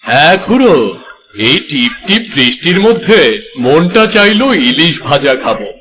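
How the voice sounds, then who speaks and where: A man's voice: fairly high pitch, very animated, moderate pace, bright, forceful tone. A man voicing a genial, homely village father in a cartoon. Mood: cheerful. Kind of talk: cartoon voice acting.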